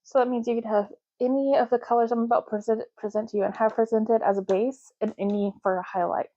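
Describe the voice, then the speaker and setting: Woman, ASMR host, dark relaxed tone, unhurried casual roleplay patter, deliberate repetition, lo-fi muffled recording. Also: a little high. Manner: slow, deliberate, repeats words, casual. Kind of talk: soft-spoken ASMR roleplay.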